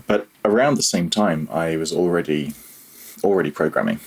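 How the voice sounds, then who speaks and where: A man with an exceptionally deep voice speaks as a guest in an interview. He talks casually, in a halting way, feeling his way through each answer. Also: expressive, creaky, breathy edge. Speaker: interview guest, audio developer.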